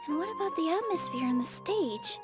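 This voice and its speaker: An actress playing a gentle, idealistic young dancer in a video game, speaking in a sweet, earnest way. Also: female voice, extremely high pitch, lively intonation, fairly quick, music in the background. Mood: anxious, caring.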